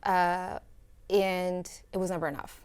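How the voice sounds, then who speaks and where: A woman's voice: fairly low pitch, level tone, measured pace, darkish tone, smooth. A young woman from El Salvador, a student guest in a studio interview, speaking earnestly and reflectively with fillers and restarts.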